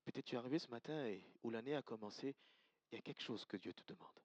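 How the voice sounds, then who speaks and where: A man's voice: medium pitch, fast, no room sound, highs cut off. A man from Côte d'Ivoire, preaching an earnest, emphatic sermon to his congregation and repeating key lines. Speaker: pastor. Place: church service.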